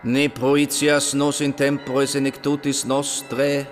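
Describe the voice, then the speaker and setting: A man voicing a solemn chanter of Latin prayers in a radio play, measured and monotone, with long church-like reverb. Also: medium pitch, faint music behind, lightly acted.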